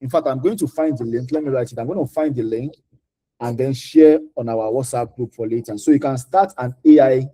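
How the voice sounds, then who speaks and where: A man's voice: medium pitch, a little fast, warm tone. A man with a Nigerian accent giving informal career advice in a mentoring session, repeating key points for emphasis. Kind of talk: informal advice talk answering audience questions.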